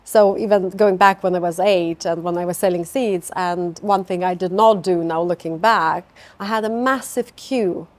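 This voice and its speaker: A middle-aged woman speaks, answering interview questions in an eager, heartfelt way. She often doubles her words for emphasis. She is recorded on a lapel mic in a bright office lounge. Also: fairly low pitch, fairly even intonation, moderate pace, hardly any pauses. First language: Lithuanian. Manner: enthusiastic, repeats words for emphasis. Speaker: company founder, interviewee. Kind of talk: conversational interview. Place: bright open office lounge with large windows.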